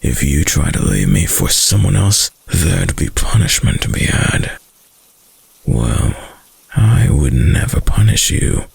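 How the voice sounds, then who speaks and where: A man voicing a possessive, doting, dominant wolf-boy lover in an intimate ASMR roleplay, with a deep, gravelly, breathy murmur. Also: sing-song, no echo, heavily acted. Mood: possessive, menacing. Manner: slow, murmured, cooing and possessive. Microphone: close, dry microphone.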